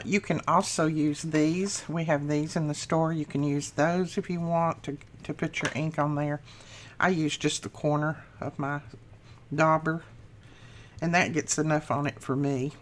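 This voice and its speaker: A middle-aged woman hosting a craft channel, chatting casually through a tutorial with a slightly rough morning voice, laughing at her own mistakes. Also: sits low, fairly even intonation, unhurried, fairly bright tone, slight background noise.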